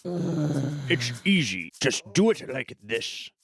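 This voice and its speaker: A man's voice: fairly high pitch, sing-song, slow, gravelly, fairly bright tone, husky, heavily acted. A man voicing a devout, insistent dwarf cleric in a tabletop roleplaying game. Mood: encouraging. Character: devout, earnest stubby-armed male dwarf cleric. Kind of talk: tabletop roleplay, improvised dialogue.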